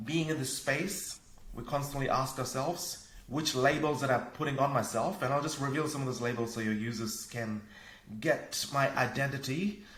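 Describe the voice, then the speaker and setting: A man's voice: medium pitch, normal speed. Upbeat man from Fiji, roomy sound. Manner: energetic, upbeat, laughs easily. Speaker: podcast guest, parenting coach. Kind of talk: casual conversation. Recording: echoey room.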